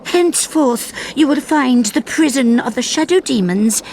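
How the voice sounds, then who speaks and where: A woman voicing a sly, theatrical witch in a fantasy video game, speaking in a grand, drawn-out manner. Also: sits high, lively intonation, background noise.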